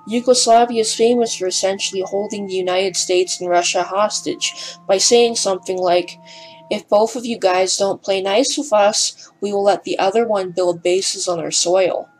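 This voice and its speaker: Woman narrator, mid-pitched, a bit hesitant and breathless, scripted voice-over over faint music. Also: fairly even intonation, moderate pace, poor audio. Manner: slightly hesitant, breathless delivery. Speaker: documentary narrator.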